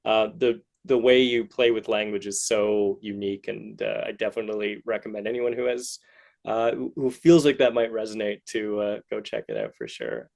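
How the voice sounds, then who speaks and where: A man's voice: lowish voice, normal speed, creaky, darkish tone. A man hosting a casual interview, talking in a hesitant, rambling and encouraging way. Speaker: podcast host interviewer.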